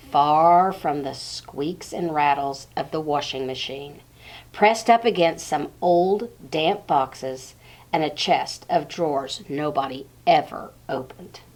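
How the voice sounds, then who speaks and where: A woman reading a storybook aloud to young children in short phrases with pauses, speaking directly to her listeners. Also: sits low, darkish tone, low-quality recording.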